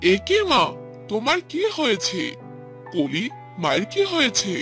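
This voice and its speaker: A woman's voice: mid-range voice, wildly swooping pitch, a little slow, fairly bright tone, faint music behind, heavily acted. A woman voicing a young husband in a cartoon, with an exaggerated delivery. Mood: agitated. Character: young husband, smooth-talking then bullying. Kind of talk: Bengali cartoon voice acting.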